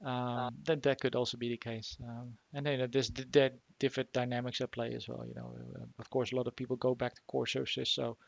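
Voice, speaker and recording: Halting man with a gravelly voice. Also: mid-range voice, little pitch movement, no echo, highs cut off. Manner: halting, many fillers and restarts. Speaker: podcast host. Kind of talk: casual remote interview.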